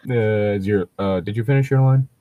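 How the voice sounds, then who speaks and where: A man's voice: lowish voice, no room sound, narrow, tinny audio. A man with a rambling, sweary, giggly way of talking, hosting a comedic show of casual banter and reading aloud. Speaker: host of a bad fan fiction reading show.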